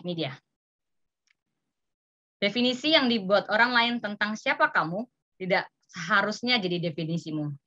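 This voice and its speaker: Bright-voiced woman giving a talk. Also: lowish voice, no echo, muffled recording. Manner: illustrative, acts out example remarks, checks in with "ya". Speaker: seminar speaker. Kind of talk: informal seminar presentation, conversational explaining. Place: online video meeting.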